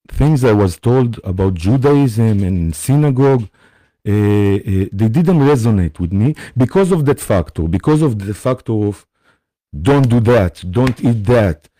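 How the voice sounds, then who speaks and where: A man with an Israeli accent gives a spiritual talk on a live stream. His voice sits fairly low and sounds mellow, and he speaks very slowly and haltingly. He pauses often to check in with his listeners, and the sound is dry and close. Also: no room sound. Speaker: spiritual teacher hosting a live session.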